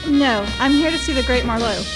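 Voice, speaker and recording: High-voiced anxious wife played by a woman. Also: fairly quick, music in the background, poor audio. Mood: urgent, anxious. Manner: urgent, insistent. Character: anxious, pleading young wife fearing murder. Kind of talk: radio play acting.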